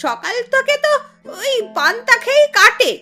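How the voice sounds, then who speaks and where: A woman's voice: extremely high pitch, sing-song, a little slow, sharp tone, soft background music, exaggerated voice. A woman playing a haughty, spiteful village aunt in a cartoon fairy tale, scolding with open scorn. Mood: scornful, scolding. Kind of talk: animated fairy tale dialogue.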